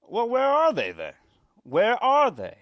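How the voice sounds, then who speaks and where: A man's voice: very high voice, wildly swooping pitch, slow, muffled recording, exaggerated voice. A man playing a penny-pinching, anxious property owner in old-time radio suspense style, curt, skeptical and fretful. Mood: agitated.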